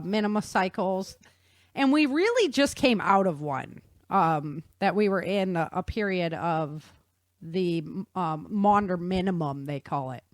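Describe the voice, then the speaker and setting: A woman's voice: mid-range voice, dry recording, studio quality. A woman presenting an informal explanatory science talk in a casual, conversational, slangy way. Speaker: author and presenter.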